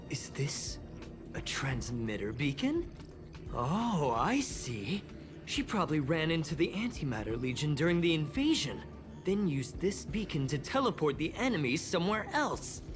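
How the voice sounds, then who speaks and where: Animated, excitable young researcher. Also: female voice, sits low. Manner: expressive, with sighs, gasps and exclamations. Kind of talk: game dialogue acting.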